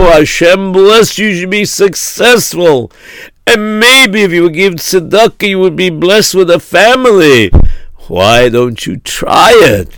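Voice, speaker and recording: Man playing a slow, earnest pleading rabbi. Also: high-pitched, a bit bright, clean tone, no echo, pitched above the performer's own voice, full character voice. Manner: slow, emphatic, exhorting. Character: earnest pleading old-world rabbi urging charity.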